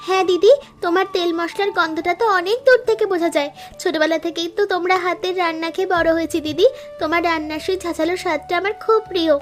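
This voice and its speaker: Woman doing a very high, rapid-fire cartoon younger daughter-in-law, chirpy and eager, music behind. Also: steady tone. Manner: chirpy, quick, affectionate. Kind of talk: cartoon voice acting.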